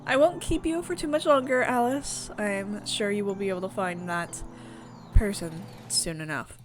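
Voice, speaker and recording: A woman voicing a gentle, evasive queen in a visual novel, hesitant and cryptic. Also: mid-range voice, moderate pace, soft background music, lightly acted.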